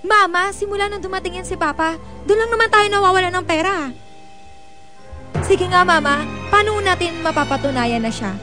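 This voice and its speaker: Sulky filipino daughter talking back, super high whiny voice, radio drama with music behind. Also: female voice, normal speed, long pauses. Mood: indignant, defensive. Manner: whiny, insolent.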